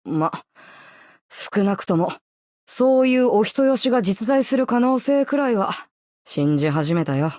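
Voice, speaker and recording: A woman voicing a cynical, guarded rogue with blunt, rough speech in a game. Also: medium pitch, animated, brisk, warm tone, no echo, close to an everyday voice. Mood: wry, guarded. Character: cynical, guarded, rough-talking small rogue slowly warming. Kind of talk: scripted game character voice line.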